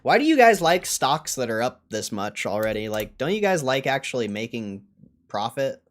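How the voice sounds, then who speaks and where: A man's voice: mid-range voice, sing-song, moderate pace. A man hosting a livestream in a casual, blunt and dismissive way, swearing now and then as he answers chat. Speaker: livestream host.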